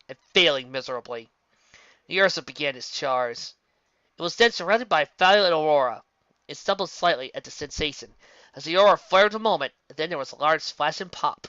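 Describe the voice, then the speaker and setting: Story narrator: bright, forceful mid-pitched voice, scripted read with small stumbles, dry and slightly dull recording. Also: no room sound.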